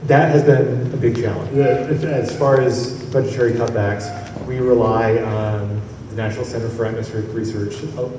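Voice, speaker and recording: A man's voice: mid-range voice, fairly even intonation, moderate pace, hardly any pauses. A man from the weather service answering on a conference panel, rambling with restarts and small chuckles. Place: conference hall. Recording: echoey hall from far off, noisy.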